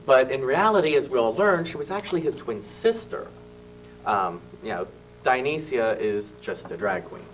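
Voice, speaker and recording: A young man talking as a guest on a small local TV studio show, halting with many fillers and small laughs, into a handheld mic. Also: mid-range voice, lively intonation, far from the mic, soft background music, rough recording. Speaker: cast member guest. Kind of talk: casual studio chat. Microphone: handheld mic held by host.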